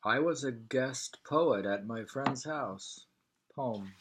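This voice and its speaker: A man reading poetry and travel prose aloud informally, in a halting, deliberate way. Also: mid-range voice, background noise. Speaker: reader of a poetry travel sketch.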